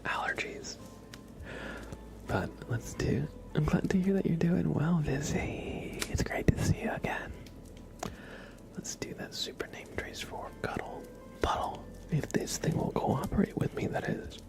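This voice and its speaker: A man hosting an ASMR livestream, chatting casually and gently to viewers in a soft breathy whisper, close to the microphone. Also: fairly high pitch, a little slow, music in the background. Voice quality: breathy whisper with heavy fry.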